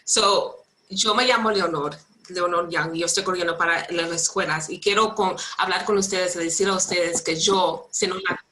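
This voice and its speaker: Casting earnest woman candidate, lowish bright voice, echoey room on a video call. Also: steady tone, a little fast, a little hiss or hum. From United States, daughter of Cuban immigrants. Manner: earnest, some fillers. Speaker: school board candidate. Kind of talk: closing statement, switching into Spanish.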